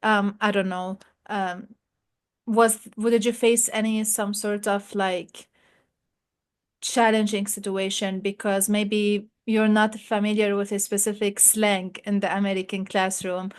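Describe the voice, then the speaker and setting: Woman asking interview questions, casual, says like a lot, medium pitch. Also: little pitch movement, unhurried, clean tone. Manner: chatty, many "like" fillers.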